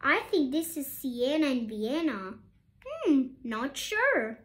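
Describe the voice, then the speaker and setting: A woman reads a picture book aloud in a high, swooping voice. She takes her time and turns to young listeners with expressive questions. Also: slow. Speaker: storybook reader.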